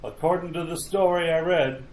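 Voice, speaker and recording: A man's voice: a little high, normal speed, darkish tone, close to an everyday voice. A man playing an uneasy, folksy graveyard caretaker who stammers in a retro radio mystery. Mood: grim storytelling. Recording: roomy echo, noticeable background noise.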